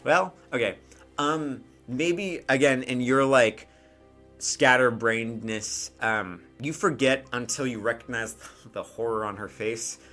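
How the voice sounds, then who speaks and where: Bright-voiced man running a tabletop game. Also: medium pitch, takes it slowly, soft background music. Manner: casual, conversational. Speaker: game master. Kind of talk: improvised tabletop game narration.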